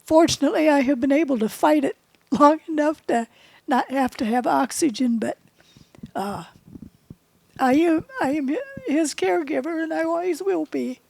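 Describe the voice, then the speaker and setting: A woman's voice: high voice, moderate pace, vocal fry, darkish tone, a little hiss or hum. A woman, a caregiver, introducing herself in an earnest, determined way. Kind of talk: brief self-introduction.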